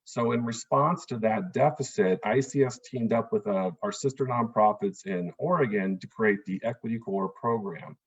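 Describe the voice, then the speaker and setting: Earnest low-voiced man, husky tone. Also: normal speed, few pauses, muffled recording. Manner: earnest, explanatory, with fillers. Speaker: immigration attorney. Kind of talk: town hall presentation.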